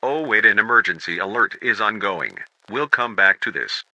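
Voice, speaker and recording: A man's voice: lowish voice, expressive, normal speed, heavy background noise. A man playing a local news host, presenting a scripted evening broadcast with dull, lo-fi sound.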